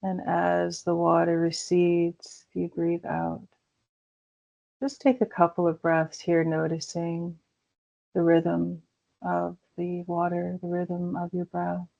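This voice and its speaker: Slow, gravelly-voiced woman guiding meditation. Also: fairly low pitch, monotone. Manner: slow, soothing, gentle pauses. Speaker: meditation guide. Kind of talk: guided meditation. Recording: dull lo-fi recording.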